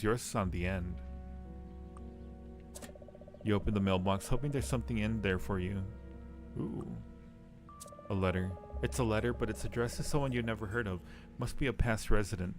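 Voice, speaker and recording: A man live streaming a game, reading its text aloud and chatting casually with viewers as he muses. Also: fairly low pitch. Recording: music playing behind the voice. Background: music.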